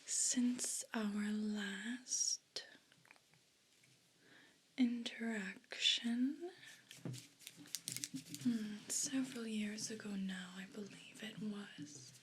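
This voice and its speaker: A woman's voice: medium pitch, fairly even intonation, drawn-out words, long pauses, slight background noise. A woman voicing a haughty, aggrieved evil pet cat in a whispered ASMR role play, speaking in pompous, formal, disdainful phrases. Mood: haughty, disappointed.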